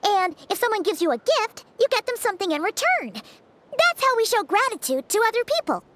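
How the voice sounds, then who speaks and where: A woman's voice: very high voice, big pitch swings, a little fast, a bit bright, exaggerated voice. A woman voicing a tiny, chirpy female fairy companion who explains things eagerly and chatters on. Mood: explaining. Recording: clear background noise.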